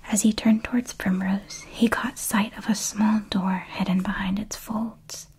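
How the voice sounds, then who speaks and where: A woman reading a children's story aloud in a soft, calm ASMR whisper. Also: mid-range voice, breathy edge. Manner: slow, calm and hushed.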